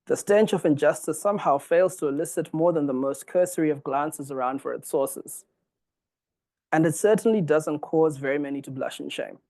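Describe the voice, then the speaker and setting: A man from Zimbabwe in his forties giving a formal public lecture, reading from a text in a measured, earnest way. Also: fairly high pitch, a little mellow.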